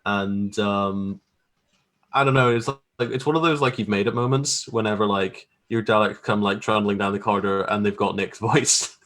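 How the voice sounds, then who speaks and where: Low-voiced man, modest and hesitant. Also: steady tone, normal speed, fairly bright tone. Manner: modest, hesitant, circling phrases. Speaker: guest graphic artist. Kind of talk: casual interview chat.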